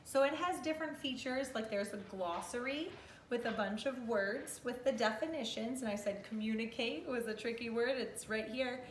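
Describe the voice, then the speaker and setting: High-voiced woman teaching in an echoey room. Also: normal speed. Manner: instructive, explaining step by step. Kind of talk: read-aloud lesson for young children. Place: small living room corner indoors. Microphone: camera a few metres away. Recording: echoey room with clear background noise.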